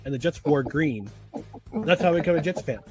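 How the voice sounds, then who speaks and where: Male sports podcaster, conversational, slightly high voice, soft music underneath. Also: lively intonation, brisk. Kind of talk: casual sports podcast chat. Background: faint music.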